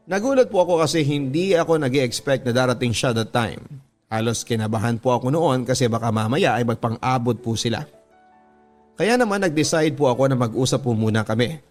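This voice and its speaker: A Filipino man narrates a scripted radio drama in the first person, as if reading out a listener's letter. His mid-range voice is earnest and sentimental, with polite storytelling phrasing. Music plays faintly behind him. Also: mid-range voice. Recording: music bed under the voice. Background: background music.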